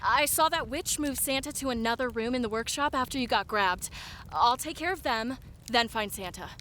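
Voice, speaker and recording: A woman playing a feisty, self-assured young sidekick in a cartoon adventure, with a brisk, no-nonsense manner. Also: a little high, bright, forceful tone, a little hiss or hum. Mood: brisk, confident. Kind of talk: cartoon adventure audio drama acting.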